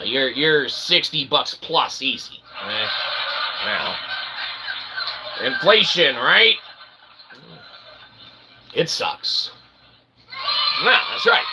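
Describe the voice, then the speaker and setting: A man's voice: mid-range voice, background noise. An American man hosting his own channel, delivering a rambling, sarcastic comedic monologue full of fillers and asides. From United States. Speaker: channel host.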